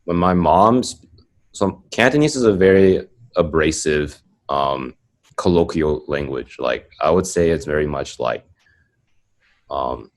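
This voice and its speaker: Very deep-voiced man, rambling casual chat. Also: a little slow. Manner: rambling, heavy use of "like" and "um". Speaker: podcast guest.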